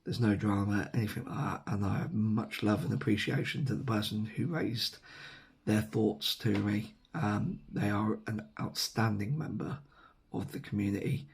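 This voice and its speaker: A man's voice: fairly low pitch, normal speed. A man with an English accent, losing his voice with a sore throat, rambling casually to camera with lots of ums and self-corrections. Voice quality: hoarse. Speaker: vlogger. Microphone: camera mic, seated at camera.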